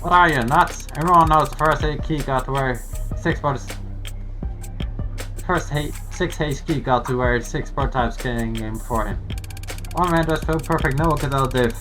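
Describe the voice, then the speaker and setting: An actor in a video game playing an agitated, shouting conspiracy-minded protester, loud and insistent. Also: mid-range voice, moderate pace, close to an everyday voice. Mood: heated, ranting. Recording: game audio with loud music underneath. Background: game music.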